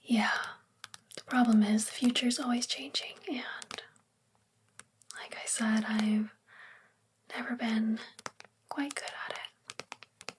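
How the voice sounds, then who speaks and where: A woman playing a sweet, gentle, self-doubting witch librarian in a soft-spoken, confiding ASMR roleplay with a breathy, whispery voice. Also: a little high, steady tone, takes it slowly, pauses often, slight background noise. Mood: wistful, explaining.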